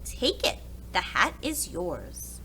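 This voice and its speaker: A woman voicing a weary, kindly, fretful uncle in a storybook, speaking earnestly and deliberately. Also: lowish voice, wildly swooping pitch, bright, forceful tone, slight background noise, exaggerated voice. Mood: resigned. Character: weary, kindly, fretful uncle attached to his old hat.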